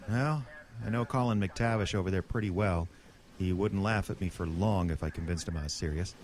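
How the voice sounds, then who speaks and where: A man's voice: deep voice, brisk, a little mellow, lightly acted. A man acting a blunt, decisive small-town lawman leading a monster hunt in a horror audio drama, speaking in a dry, clipped way. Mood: brisk, commanding.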